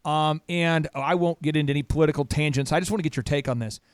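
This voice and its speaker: A man's voice: on the high side, quick pace, smooth, no room sound, studio quality. An American man hosting a podcast interview with a showman-like, emphatic delivery that repeats phrases. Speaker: podcast host.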